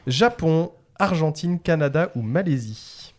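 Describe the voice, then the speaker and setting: A man's voice: fairly high pitch, expressive, a little mellow. A man hosting a playful food quiz with chatty banter, carefully spelling out names and answer options. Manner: slowly enunciates dish names and answer options. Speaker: quiz host on a food show.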